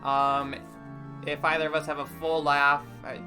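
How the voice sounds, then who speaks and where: A man's voice: on the high side, little pitch movement, moderate pace, music in the background. A young man hosting a livestream with casual banter and a wry, joking, mock-grandiose manner. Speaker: livestream host.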